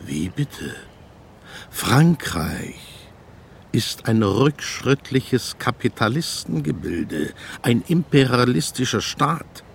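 An actor playing a stern, suspicious middle-aged army captain in a radio drama, with a husky, gravelly voice and an officious, sardonic way of giving orders. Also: male voice, mid-range voice, big pitch swings, takes it slowly, slight background noise, exaggerated voice. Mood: suspicious, menacing. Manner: clipped commands, officious and sardonic. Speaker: company commander.

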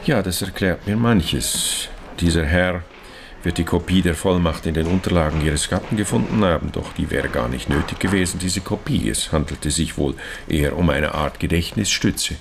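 A courteous Swiss banker in a radio crime play. His voice is extremely low and gravelly, and he picks his words formally, with fussy hesitations. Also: a little hiss or hum. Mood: measured, explanatory. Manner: formal, circumlocutory, hesitant with pauses. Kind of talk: radio crime drama acting.

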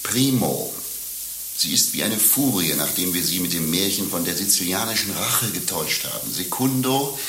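Deep-voiced cynical pimp. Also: male voice, expressive, moderate pace, fairly bright tone. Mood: urgent warning. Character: cynical, coarse male pimp, bragging and mock-tender. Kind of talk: satirical German radio play acting. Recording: roomy, with faint hiss.

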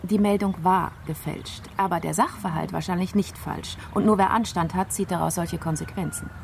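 An actress playing a methodical female investigator in a radio crime play, explaining a case in a measured, explanatory way. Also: fairly low pitch, steady tone, vocal fry, darkish tone, a little hiss or hum.

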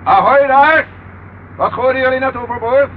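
An actor playing a brisk, wry, commanding police inspector in an old radio drama. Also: male voice, extremely high pitch. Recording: dull, noisy old radio recording.

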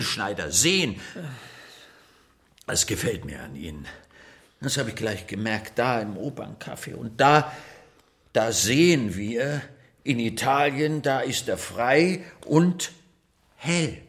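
A man's voice: mid-range voice, fairly bright tone, heavily acted. Conversational, coaxing male art curator. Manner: conversational, coaxing, with rhetorical tag questions. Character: East Berlin museum curator, conciliatory, musing man. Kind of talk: naturalistic German radio drama dialogue.

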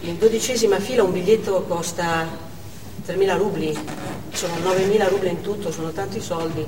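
A woman playing a devoted, excitable working mother in a chatty theatrical monologue. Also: on the low side, a little fast, lightly acted. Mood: chatty, fond. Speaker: stage actor. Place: indoor theatre stage, recorded from afar. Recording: distant, echoey hall with noticeable noise.

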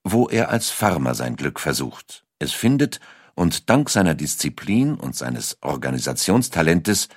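A man narrating a scripted documentary story in a measured way, with dramatic emphasis. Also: a bass voice, very animated, slightly rough, dry recording, studio quality. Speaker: audiobook narrator.

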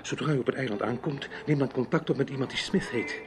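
An actor playing a courteous, gallant man in a radio play, giving advice in a measured manner. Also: medium pitch, over loud music, close to an everyday voice. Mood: confiding, gallant. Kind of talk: Dutch radio play acting.

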